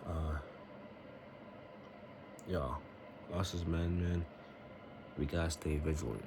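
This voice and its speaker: Young man, very low voice, earnest preachy vlogger, noisy home recording. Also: fairly even intonation, slow pace. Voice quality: very deep voice. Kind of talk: casual faith-based vlog talk.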